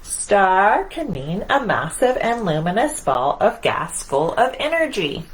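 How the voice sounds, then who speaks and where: A woman's voice: medium pitch, sing-song, slow pace, a little hiss or hum, rough recording. A woman voicing a cocky, self-important Sun in a children's picture-book read-aloud, bragging with theatrical emphasis. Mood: proud, boastful.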